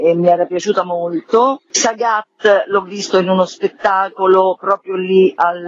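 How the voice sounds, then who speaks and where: A woman's voice: mid-range voice, a little mellow, no echo. A woman speaking casually as a theatre director in a radio interview, informally thinking aloud. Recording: dull lo-fi sound, dry.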